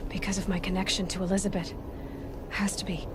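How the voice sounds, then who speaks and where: An actress playing a determined, defiant young huntress in a video game, speaking directly and insistently, full of questions. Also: female voice, medium pitch, little pitch movement, fast talker, background noise, close to an everyday voice. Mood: uneasy, pensive. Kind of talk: video game cutscene dialogue.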